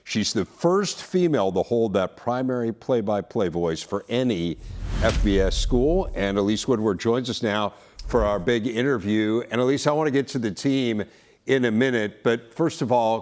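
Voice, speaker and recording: A man's voice: lowish voice, a little mellow. An American man presenting and interviewing as the host of a sports studio show.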